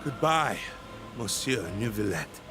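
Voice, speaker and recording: An actor playing an anguished, betrayed defendant in a video game, with dramatic, drawn-out delivery. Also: male voice, fairly low pitch, very animated, music in the background. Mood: bitter farewell. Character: anguished, betrayed male defendant pleading with his friend. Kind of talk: scripted game character dialogue.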